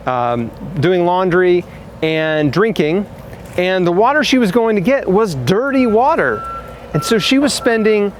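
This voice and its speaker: Earnest man with a slightly high voice. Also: slight background noise. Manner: earnest, conversational, rhetorical questions to viewers. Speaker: fundraiser and presenter. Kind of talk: casual talk to camera for a charity appeal.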